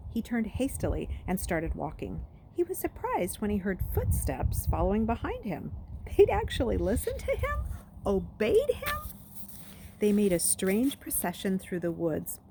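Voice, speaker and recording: Woman, storybook reader, slightly low voice, lively intonation, faint background noise. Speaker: read-aloud reader. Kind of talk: expressive storybook narration.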